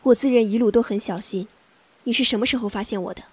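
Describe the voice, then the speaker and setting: A woman's voice: fairly high pitch, fast, long reverb tail, lightly acted. An actress playing a determined young female assassin who speaks in a cold, clipped, resolute way. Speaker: voice actor. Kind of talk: Chinese audio drama acting.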